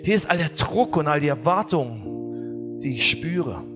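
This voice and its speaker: A male pastor delivers a sermon with a lively, humorous storytelling manner and a slightly high-pitched voice. His words ring out with long reverb in a large hall. Soft guitar music plays faintly behind him. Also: unhurried. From not from Bavaria or Austria.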